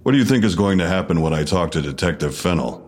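An actor playing a stern, needling police detective questioning someone in a measured, sardonic way in an audio drama. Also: male voice, low-pitched, expressive, a little mellow. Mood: sardonic pressure. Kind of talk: full-cast audio drama interrogation.

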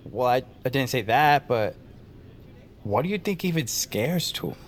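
A man's voice: mid-range voice, lively intonation, unhurried, slight background noise, close to an everyday voice. An actor playing an easygoing male workmate who banters casually and playfully in an audio drama.

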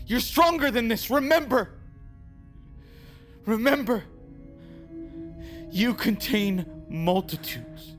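A woman's voice: on the high side, a bit bright, full character voice. A woman playing an earnest, anxious rescuer in an audio drama, pleading and urging on an ally. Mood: desperate.

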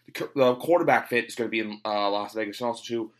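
A man's voice: fairly low pitch, fast. A man hosting a casual sports podcast, talking with a cold and rambling through lots of fillers. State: has a cold. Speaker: podcast host. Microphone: home recording, guest on internet call.

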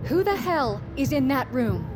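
A feisty, confrontational woman on an expedition crew. Her voice sits high and she speaks with blunt urgency. Loud music plays behind this audio drama. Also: big pitch swings, a little slow. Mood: angry, accusing. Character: feisty, impatient, outspoken woman crew member.

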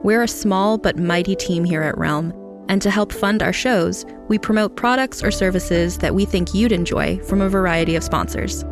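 A woman's voice: medium pitch, moderate pace, music in the background. A woman reading scripted promotional narration as a podcast network announcer.

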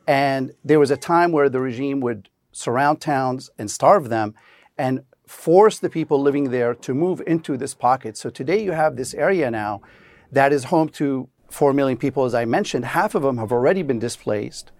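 A man from Syria answering as a policy expert guest in a news interview, explaining earnestly and gravely. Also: mid-range voice, moderate pace, a little mellow, no echo.

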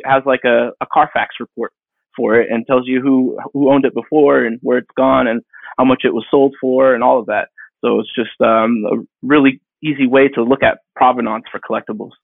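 Gravelly man in his thirties on a tinny line. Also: medium pitch, dry recording. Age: 30s. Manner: rambling, halting, with many fillers and restarts. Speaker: digital artist guest. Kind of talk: casual interview answers. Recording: dry tinny phone-like line.

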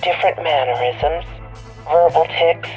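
A woman playing a bitter divorcee on a tipline, telling her story deliberately and resentfully in an audio drama. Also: low-pitched, darkish tone. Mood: uneasy, resentful. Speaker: tipline caller. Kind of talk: dramatic monologue in audio fiction. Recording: dull lo-fi radio-like sound, music behind.

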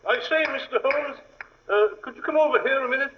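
An actor playing an anxious British gentleman scholar, fretful and hesitant, in 1940s radio drama style. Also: extremely high pitch, fairly quick. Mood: anxious, earnest. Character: anxious, flustered titled British gentleman archaeologist. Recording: muffled vintage radio recording.

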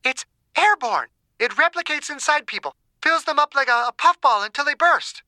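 A woman playing an urgent, desperate scientist in an audiobook drama, blunt and forceful in her delivery. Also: high voice, lively intonation, normal speed, no echo. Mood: urgent, grim.

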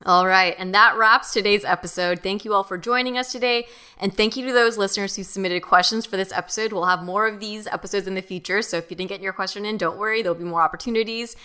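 Woman podcast co-host, bright voice, laid-back chatty read. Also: medium pitch, fast, clean tone, soft background music. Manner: relaxed, self-deprecating, wraps up the show. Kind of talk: casual chat.

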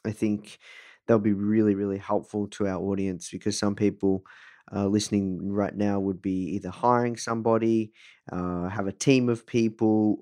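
A man hosting a casual interview, forming his questions haltingly and repeating phrases as he goes. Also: lowish voice, darkish tone. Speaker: podcast host, interviewer.

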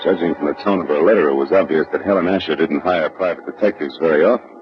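A man's voice: very deep voice, fast talker. A man acting as a tough, wry private detective in a 1940s-style radio drama, clipped and dry. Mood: dry narrating. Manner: hard-boiled, clipped, dryly wry. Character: tough, wry male private detective narrating his case. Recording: dull old radio recording, faint music.

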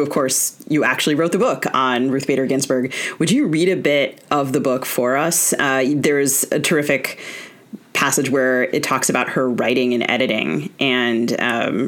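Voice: medium pitch, a bit bright. A podcast host interviewing a guest in a conversational style.

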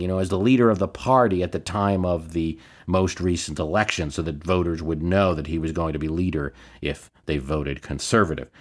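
American man, deep-pitched solo podcast host, explanatory commentary with measured, opinionated delivery. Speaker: history and politics podcast host.

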